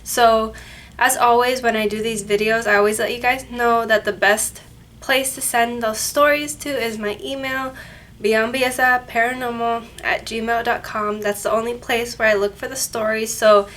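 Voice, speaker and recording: Chatty nasal woman, slightly high. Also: steady tone, measured pace, a bit bright. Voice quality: nasal, congested from a cold. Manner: chatty, rambling with self-corrections. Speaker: paranormal channel host. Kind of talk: casual chat to camera. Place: office at her workplace, indoors. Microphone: camera mic, no microphone.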